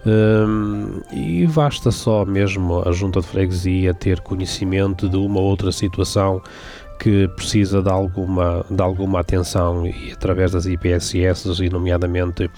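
A man speaking on a local panel as a parish president, halting and repeating words. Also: deep voice, little pitch movement, dark tone, soft background music. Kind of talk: panel talk.

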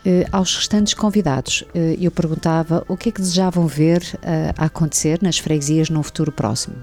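A woman's voice: low voice, steady tone, a little fast, darkish tone, soft background music. A woman host moderating a panel with polite, formal questions.

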